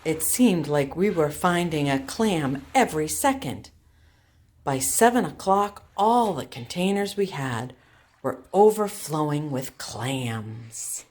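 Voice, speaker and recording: Slow, low-voiced woman in an echoey room. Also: expressive, darkish tone. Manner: simple, deliberate phrasing for young children. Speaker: children's storytime host and craft teacher. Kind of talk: picture-book read-aloud and craft demonstration for young children. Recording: roomy echo with faint background noise.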